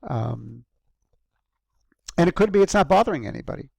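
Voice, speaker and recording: Gravelly-voiced male lecturer, dry close sound. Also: a little high, animated, normal speed, a little mellow, no echo. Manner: conversational, frequent ums and restarts. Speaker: university lecturer. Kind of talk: recorded course lecture.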